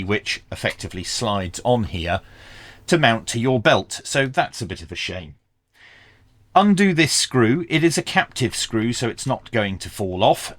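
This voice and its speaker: A man's voice: lowish voice, fairly bright tone, no room sound. A man presenting a hands-on equipment review, explaining each step methodically in the style of a tutorial.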